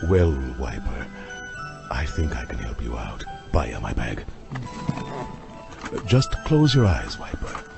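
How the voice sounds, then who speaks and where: Deep, intense vampire hunter. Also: male voice, wildly swooping pitch, takes it slowly, creaky, pauses often, loud music behind the voice, exaggerated voice. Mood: grave, soothing. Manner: grave, urgent, melodramatic pronouncements. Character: obsessed intense male vampire hunter doctor. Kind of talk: audio drama acting.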